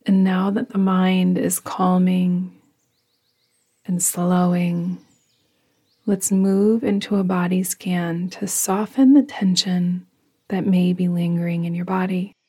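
A woman guiding a yoga nidra meditation in a soft, soothing, hushed voice that often trails into a breathy whisper. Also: on the low side, fairly even intonation, takes it slowly. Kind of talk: guided meditation, slow and soothing.

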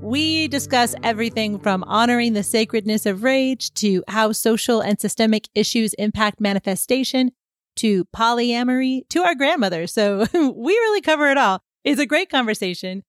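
A woman's voice: on the high side, lively intonation, normal speed, no room sound. Upbeat woman host, dry close sound. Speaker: podcast host. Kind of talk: podcast intro and casual chat.

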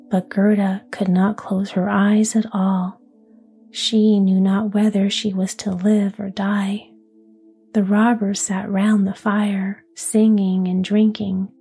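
A woman narrating a fairy tale in a soft unvoiced whisper, ASMR style. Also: medium pitch, creaky.

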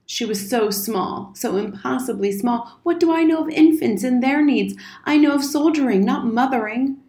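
A woman's voice: high-pitched, moderate pace, darkish tone, voice pushed up. A woman acts out a blustering old soldier from a storybook. He is pompous and wordy, leaning hard on his words, in a room with noticeable echo. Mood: defensive, pleading. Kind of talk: storybook character reading. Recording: roomy, echoing indoor sound.

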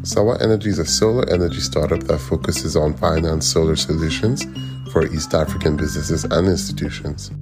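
A man reading a scripted show introduction in a measured way. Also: a bass voice. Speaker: show narrator. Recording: music bed behind the voice.

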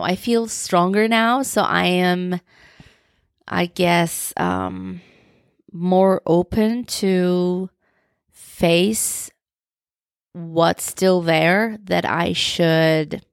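A woman's voice: fairly low pitch, clear, resonant tone, no echo, clean studio sound. A young woman with a Turkish accent, hosting her own podcast, who talks in a reflective and openly personal way. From Turkey. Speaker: podcast host. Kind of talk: solo podcast monologue.

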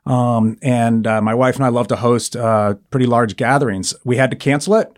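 A man speaking in a radio studio as an interview guest, his voice sitting at an ordinary middle pitch. He talks in a friendly, rambling way, filling pauses with "uh" and "um" as he explains things at length. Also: steady tone. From Argentina and Italy. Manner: friendly, rambling with frequent fillers. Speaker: guest, sauce company founder.

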